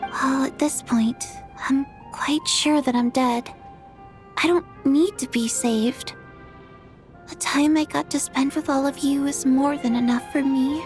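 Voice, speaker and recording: An actress playing a young ghost girl who speaks softly, calmly and politely, with faint game music behind. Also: sits high, measured pace. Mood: gentle. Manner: soft, calm, polite. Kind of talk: video game voice acting.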